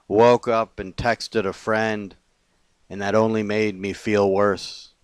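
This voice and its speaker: Slow, low-voiced man reading poetry. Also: clean tone, no echo. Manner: deliberate phrasing with pauses between short lines. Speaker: poet. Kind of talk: poetry reading of own confessional verse.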